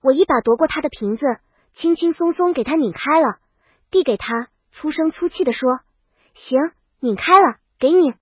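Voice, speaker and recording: High-pitched woman narrating a comic story. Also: expressive, moderate pace, dry recording, lo-fi sound. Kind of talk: first-person comic scripted narration, princess heroine.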